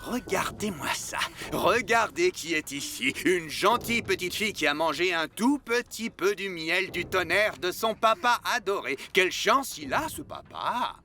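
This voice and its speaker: Cartoon fox villain, high voice, very animated swoops, pompous, mocking, condescending. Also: male voice, unhurried, hardly any pauses, heavily acted. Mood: sarcastic menace. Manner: theatrical, sarcastic, condescending. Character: sly, pompous, mocking male fox villain, self-crowned king. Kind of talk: dubbed animated film acting.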